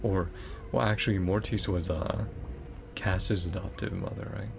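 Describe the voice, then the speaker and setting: Low, creaky-voiced man streaming. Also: steady tone, unhurried, background noise. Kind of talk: casual gaming reaction chat with viewers.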